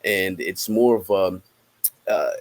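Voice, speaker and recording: Man, American accent, lower pitch, casual interview guest, halting delivery, thinking aloud. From Cincinnati, United States. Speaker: interviewed musician and rapper.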